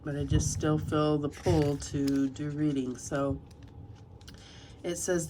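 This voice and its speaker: A woman's voice: sits low, a little hiss or hum. A woman reading tarot cards and reading passages aloud from a guidebook, slightly nasal from a cold. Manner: soft, unhurried, reads passages aloud. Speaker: tarot reader.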